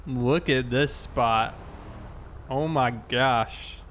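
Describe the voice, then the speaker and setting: A man's voice: mid-range voice, drawn-out words, a bit bright. An American man chatting casually on a livestream while roleplaying as a police officer, in a laid-back way. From South Carolina, United States. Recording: clear background noise, vehicle sounds.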